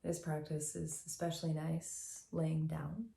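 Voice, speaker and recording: A woman guiding a meditation as a teacher, speaking in a gentle, soothing, unhurried way with long pauses, in a slightly echoey room. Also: low voice, little pitch movement, gravelly. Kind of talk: guided meditation, soft instructional narration.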